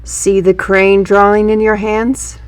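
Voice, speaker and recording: A woman's voice: medium pitch, little pitch movement, slow, dry recording, soft background music, lightly acted. A woman playing a defiant, sardonic young artist with magical powers in a fantasy audio drama, speaking formally and pointedly. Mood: explaining.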